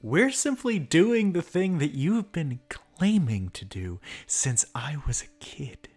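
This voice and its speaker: A man's voice: on the high side, sing-song, takes it slowly, darkish tone, soft background music, full character voice. A man playing a bitter, mocking villain in an ASMR roleplay monologue, speaking theatrically with sardonic asides and often dropping toward a whisper. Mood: cold, mocking. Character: bitter, mocking male villain revolutionary monologuing at a fallen hero. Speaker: voice actor in an audio roleplay.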